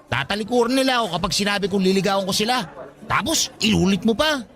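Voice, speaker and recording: A man's voice: sits high, lively intonation, fast talker, a bit bright. A man playing a short, insecure, lovestruck young worker in a Tagalog radio romance, sulky and guarded in his talk. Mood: hurt. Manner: sulky, guarded, self-pitying.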